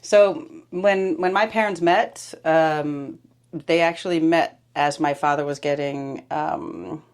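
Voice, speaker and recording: A middle-aged woman from Denmark, a guest in a conversation, laughing easily and pausing a little as she talks. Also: deep voice, fairly even intonation, a little mellow, clean tone. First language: Danish. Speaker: podcast guest, preschool director. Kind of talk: casual conversational interview.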